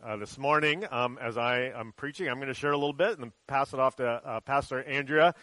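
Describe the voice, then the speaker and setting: A man's voice: mid-range voice, fairly quick, bright, forceful tone, no echo, rough recording. A man who is a pastor, preaching a church sermon aimed at children and telling a story in a casual way with many ums and uhs.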